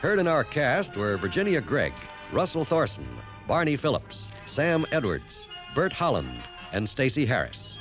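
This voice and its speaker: A man's voice: medium pitch, wildly swooping pitch, measured pace, few pauses. A man announcing scripted credits in the style of a 1950s radio broadcast. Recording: vintage radio broadcast with music. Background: music.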